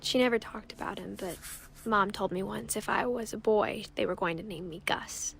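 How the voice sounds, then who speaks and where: A young woman playing a reflective girl who narrates her family's sad history in a story game, quiet and measured. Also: medium pitch. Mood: reflective, subdued. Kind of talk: scripted first-person game narration. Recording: clear background noise with clicks.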